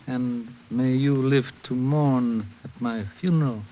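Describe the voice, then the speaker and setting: A man playing a slow-spoken, cryptic old shopkeeper. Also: medium pitch, steady tone, highs cut off, close to an everyday voice. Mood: measured. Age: older. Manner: slow, measured, broken English with proverbs. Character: old wise male Chinatown shopkeeper, calm and cryptic. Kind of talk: 1940s radio acting.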